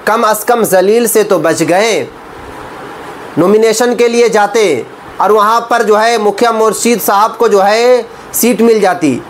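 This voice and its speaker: Male political commentator, emphatic and repetitive, rhetorical questions, some background noise. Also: sits high. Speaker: political commentary video creator. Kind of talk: opinionated political news commentary to camera.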